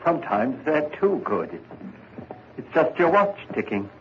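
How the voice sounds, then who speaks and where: An actor playing a nervous, guilt-ridden young murderer in a 1940s radio drama, stammering and quick to panic. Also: male voice, on the high side, very animated. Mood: panicked. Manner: jittery, stammering, rising to panic. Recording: dull old radio recording with hiss.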